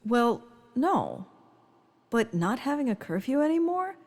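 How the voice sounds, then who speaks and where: A woman's voice: fairly high pitch, sing-song, measured pace, darkish tone, big-room sound, exaggerated voice. An actress playing a blunt, wry young woman agent in casual audio drama dialogue. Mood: wry, reluctant.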